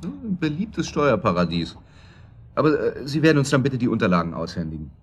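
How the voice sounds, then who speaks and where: An actor playing a blunt, joking tax investigator with an ironic, colloquial way of talking in a radio drama. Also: male voice, medium pitch, sing-song, fairly quick, husky. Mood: brisk, ironic. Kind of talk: naturalistic German radio crime drama acting.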